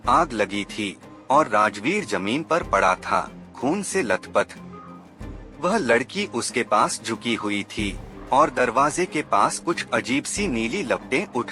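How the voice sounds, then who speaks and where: A man's voice: medium pitch, pushed and bright. A man narrating a scripted horror story in a dramatic, suspenseful manner.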